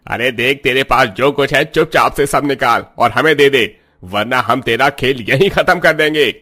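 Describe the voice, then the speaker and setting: A man playing a threatening village robber in a moral story, menacing and forceful. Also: mid-range voice, moderate pace. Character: threatening village thug robbing travellers.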